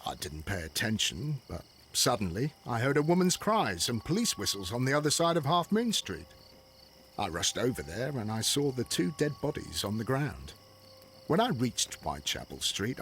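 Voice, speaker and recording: An actor playing an earnest Victorian police constable with an English accent, reporting matter-of-factly in a video game drama. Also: male voice, medium pitch, sing-song, normal speed, a bit bright, a little hiss or hum. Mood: earnest, factual.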